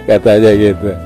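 A male radio host speaks in a low, bright voice with an Ambonese Malay accent. He is jovial and chatty as he takes calls and reads listener messages, with music playing behind him. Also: gravelly. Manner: jovial, often chuckling. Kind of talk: late-night radio call-in chat, reading listener messages. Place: radio studio. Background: music in the background.

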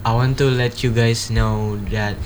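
A teenage student with an Indonesian accent, giving classmates an earnest, halting pep talk and correcting himself as he goes. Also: mid-range voice, little pitch movement. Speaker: student guest. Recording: background ambience noise.

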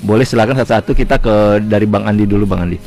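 A man hosting a radio talk show interview, upbeat and quick to say "okay, ready". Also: lowish voice, darkish tone, soft background music. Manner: upbeat, repeats "oke, siap" often. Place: radio studio.

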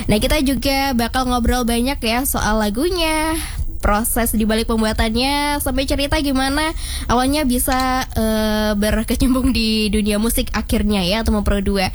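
High-voiced young woman radio host. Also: slight background noise. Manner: giggly, informal, friendly. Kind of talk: chatty music radio show interview. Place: radio studio.

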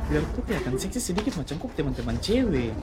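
A woman's voice: low voice, big pitch swings, fast, crowd noise. A woman playing a chatty young coworker who jokes with her friends. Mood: joking. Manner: playful, chatty. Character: casual joking young female coworker.

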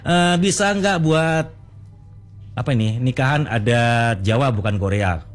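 A man hosting a casual interview, often pausing to search for words. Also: mid-range voice, faint music behind. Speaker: host interviewing food business owners.

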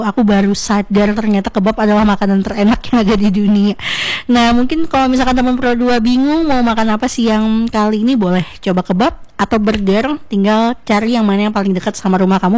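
A woman's voice: mid-range voice, darkish tone. A woman presenting casually as a radio host, talking directly to listeners in a conversational, questioning way.

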